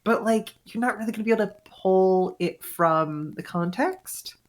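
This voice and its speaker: A woman chatting casually and analytically, halting as she works out her ideas aloud. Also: medium pitch.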